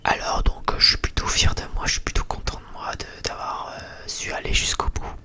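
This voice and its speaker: Whispering man, halting ASMR chat. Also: pushed and bright. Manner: halting, rambling, hesitant delivery. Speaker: ASMR video creator.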